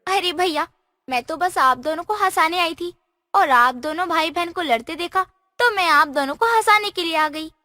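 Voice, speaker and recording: A woman's voice: extremely high pitch, moderate pace, bright, forceful tone, no echo, clean studio sound. A woman voicing a cheeky, playful fairy girl in a cartoon story. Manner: teasing, chirpy, mischievous. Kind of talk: cartoon voice acting.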